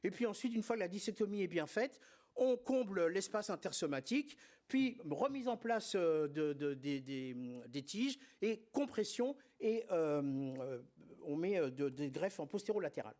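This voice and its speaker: A man with a notably high voice delivers a conference lecture on surgery. He speaks didactically and with emphasis, stumbling now and then over fillers and restarts. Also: lively intonation, normal speed, a little mellow. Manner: didactic, emphatic, frequent hesitations and filler words. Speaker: spine surgeon presenting at a congress.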